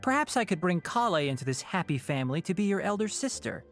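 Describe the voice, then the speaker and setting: A man voicing a sharp young male scholar in a video game, measured and dryly sarcastic. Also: high-pitched, sing-song. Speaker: scholar and guardian. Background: game music.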